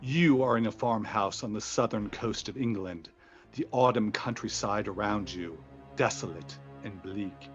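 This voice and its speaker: A man acting as an ominous old-time radio suspense announcer, dramatic and deliberate, with dull vintage-style sound. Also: lowish voice, soft background music, natural voice.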